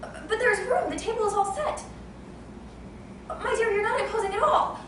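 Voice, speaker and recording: A woman's voice: extremely high pitch, normal speed. A woman acting the part of an imperious, snobbish society hostess and mother in a stage comedy, melodramatic and easily enraged. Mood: insistently gracious. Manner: melodramatic, indignant, commanding. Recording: echoey room, distant noisy mic.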